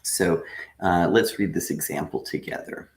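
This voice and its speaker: A man teaching a live online English lesson, patiently explaining with frequent pauses. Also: deep voice, gravelly, roomy sound. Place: room at home.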